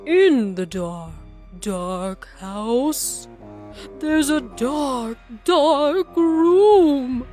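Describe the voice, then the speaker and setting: A woman's voice: high voice, lively intonation, extremely slow, faint music behind, heavily acted. A woman voicing a female schoolteacher with a theatrical, suspenseful way of addressing her class, in a storybook reading. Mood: eerie suspense. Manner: hushed, suspenseful, repetitive build-up. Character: female schoolteacher telling a spooky ghost story.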